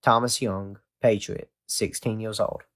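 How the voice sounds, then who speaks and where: A man's voice: on the low side, steady tone, measured pace, a bit bright. A man reading a scripted first-hand historical account aloud.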